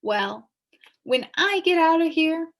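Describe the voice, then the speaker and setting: Woman doing a sweet earnest little boy from a storybook, high voice, up and down a lot. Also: expressive, slow, exaggerated voice. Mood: earnest, sympathetic. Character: sympathetic, earnest young boy eager to help. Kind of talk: storybook character reading.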